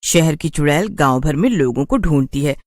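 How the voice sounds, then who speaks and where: A man's voice: a little high, lively intonation, normal speed, no room sound, clean studio sound. A man narrating a scripted cartoon horror story as its storyteller.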